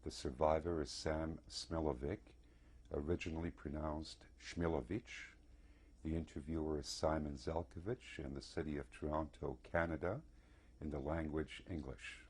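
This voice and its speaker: Very deep, husky male interviewer. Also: steady tone, a little mellow. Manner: formal, procedural, measured phrasing. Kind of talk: formal oral history interview.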